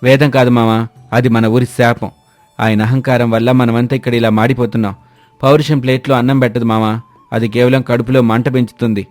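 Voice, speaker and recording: A man voices a despondent unmarried villager in a moral tale. He complains bitterly and plaintively in a mid-pitched voice, recorded dry with light music behind. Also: little pitch movement, a little fast, darkish tone, no echo, lightly acted. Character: lonely, despondent unmarried village man past marrying age. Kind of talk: dramatised moral-story dialogue.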